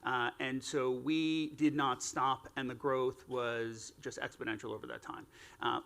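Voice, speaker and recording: A man with a gravelly, fry-tinged voice talks on a conference stage as a panel guest. He keeps it relaxed and conversational, filling gaps with "uh"s and slipping in self-deprecating asides. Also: mid-range voice, measured pace. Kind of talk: casual panel talk.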